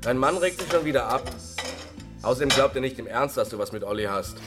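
A man's voice: medium pitch, animated, brisk, music in the background, lightly acted. A man playing a cautious lawyer and reluctant lover in a German radio crime drama, sober and reasoning. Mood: cautious, uneasy.

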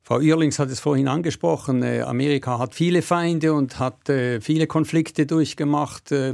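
A man's voice: medium pitch, creaky, darkish tone, no room sound, clean studio sound. An older man, a veteran correspondent giving emphatic, reflective commentary in an interview. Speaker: former Washington correspondent, interview guest.

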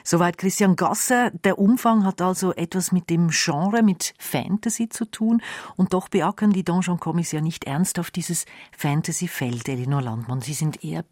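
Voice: medium pitch, normal speed, darkish tone, no echo. Radio host, dry close studio sound. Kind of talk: radio magazine moderation.

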